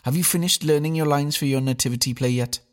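A man's voice: a little high, fast, pitched above the performer's own voice, lightly acted. A man voicing a weary, resigned mother in a read-aloud story.

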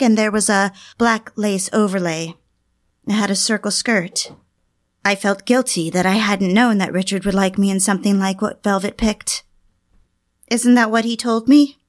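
A woman's voice: mid-range voice, lightly acted. An actress playing an obsessive, lovelorn housewife, narrating her confessions in a brooding, intimate audio drama style.

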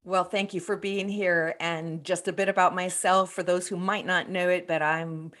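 A woman's voice: on the low side, level tone, moderate pace, fairly bright tone. Earnest woman pastor hosting a podcast.

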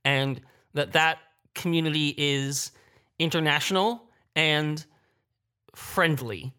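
A man's voice: a little high, takes it slowly, bright, forceful tone, clean tone. A man speaking as a creative panelist in a roundtable discussion. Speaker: panelist, audio drama creator.

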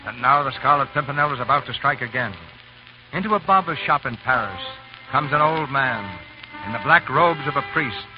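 A man's voice: mid-range voice, animated. Male 1930s radio narrator, formal and grand scripted delivery, dull old broadcast sound. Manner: grand, deliberate, dramatic. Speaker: host and narrator of radio theatre. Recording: muffled 1930s radio recording, music behind.

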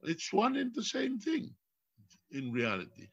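An older man from Tonga with a Tongan accent, English not his first language, talking as a philosopher guest in a reflective, deliberate way, repeating phrases for emphasis. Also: high voice, wildly swooping pitch, vocal fry, slightly rough, dull audio. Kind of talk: reflective lecture-like talk.

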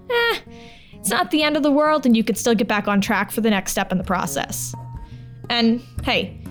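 Podcast host lady, kinda high voice, chatty n informal, soft guitar under her. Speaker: podcast host and audio drama producer. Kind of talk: casual podcast talk over music. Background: plucked guitar music.

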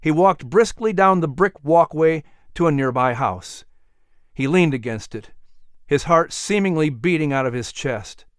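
Guy reading a dramatic kids history audiobook, smooth clear voice a bit high for a man, super dry studio sound. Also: expressive, a little slow, no echo. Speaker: audiobook narrator. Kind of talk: dramatic scripted children's story narration.

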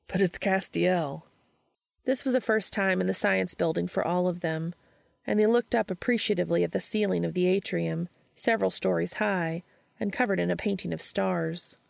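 Low-pitched, very creaky female story narrator. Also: fairly quick, darkish tone, dry recording, clean studio sound. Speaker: fan fiction audiobook narrator.

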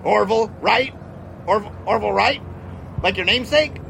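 A man's voice: high-pitched, moderate pace, vocal fry, a bit bright, a little hiss or hum. A man playing a chatty, self-assured American test pilot of the 1920s in an audio drama, speaking in precise, old-fashioned wording. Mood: jovial, teasing. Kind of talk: audio drama acting and first-person narration.

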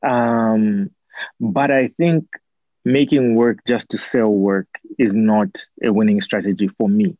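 A man's voice: fairly low pitch, fairly even intonation, slow pace, warm tone, no echo, highs cut off. A young man from Zambia, an artist and PhD student, giving thoughtful interview answers with many fillers and restarts.

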